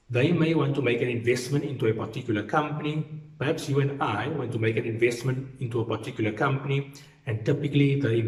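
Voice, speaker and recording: A man gives an explanatory lecture in a didactic way, repeating key points for emphasis. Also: mid-range voice. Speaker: accounting lecturer. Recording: roomy, echoing sound.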